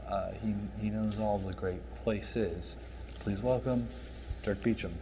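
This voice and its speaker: A man's voice: fairly low pitch, steady tone, slow, noisy background. A man hosting a comedic talk show, telling rambling, dry stories full of fillers. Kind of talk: soft-spoken comedic talk show chat.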